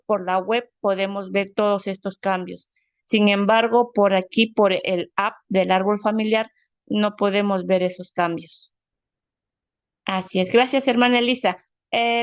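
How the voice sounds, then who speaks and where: A woman's voice: medium pitch, dry recording. Woman teaching an online family history class. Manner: friendly, full of fillers. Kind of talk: online class, casual explanation.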